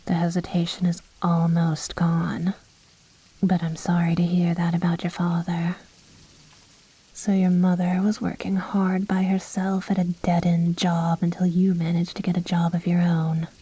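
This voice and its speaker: Casting: a woman playing a vampire mistress for whispered ASMR drama; breathy, slow, silkily condescending, possessive. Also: fairly low pitch, monotone, low-quality recording. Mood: coaxing, hypnotic. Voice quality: soft, breathy, much of it whispered. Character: commanding, seductive female vampire noble, coaxing and possessive.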